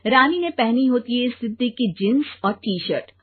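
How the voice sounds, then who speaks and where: A woman's voice: fairly high pitch, expressive, moderate pace, husky. A woman narrating a scripted family story for a cartoon, in lo-fi audio.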